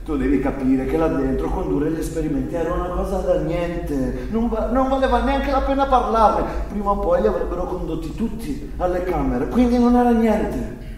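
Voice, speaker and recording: A man's voice: high voice, animated, normal speed, darkish tone. An actor playing a defensive, self-justifying father, a former wartime camp doctor, in an insistent theatrical monologue. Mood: self-justifying, forceful. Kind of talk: theatrical monologue, quoted character. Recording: echoey hall, distant mic, faint noise.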